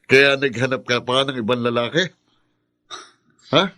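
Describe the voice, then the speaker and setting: An actor playing a cocky, jealous secret lover in a Filipino radio drama, slangy and aggrieved, with a Filipino accent. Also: male voice, medium pitch, steady tone, a little slow, sharp tone.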